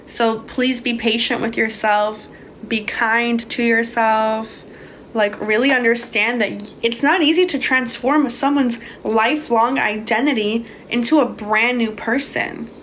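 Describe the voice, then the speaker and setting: A woman's voice: fairly high pitch, steady tone, a little slow, fairly bright tone, a little hiss or hum. A young woman from Hungary answering viewers' questions about manifesting in a casual, swearing livestream chat. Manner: casual, swears freely, calls viewers babe. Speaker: manifestation coach. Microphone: phone.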